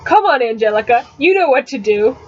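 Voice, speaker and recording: A woman's voice: sits high, animated, a little fast. Woman reading as a wry teenage boy, muffled webcam audio. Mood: fretful. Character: anxious, sarcastic, reluctant teenage boy writer. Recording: dull, noisy webcam audio.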